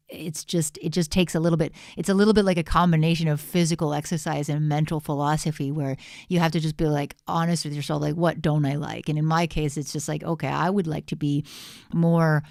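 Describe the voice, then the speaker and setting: Woman w low voice, dry close sound, chatting casually, candid slangy feedback, encouraging. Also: fairly even intonation, normal speed, vocal fry, a little mellow, dry recording. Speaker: music producer giving feedback on livestream.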